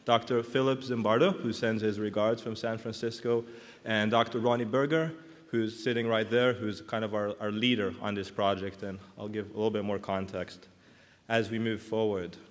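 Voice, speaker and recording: A man's voice: fairly low pitch, fairly even intonation, normal speed. A man in his thirties from Israel, speaking English, giving an earnest, reflective conference talk as a clinical psychologist. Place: large conference hall. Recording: long hall reverb.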